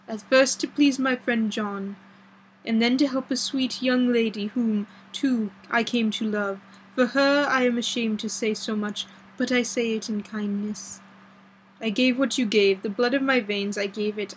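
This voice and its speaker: A resolute, earnest old doctor from a gothic audiobook as a woman narrator plays him. The phrasing is formal and a little broken, solemn and imploring. The voice sits fairly high over faint noise. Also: close to an everyday voice, low-quality recording.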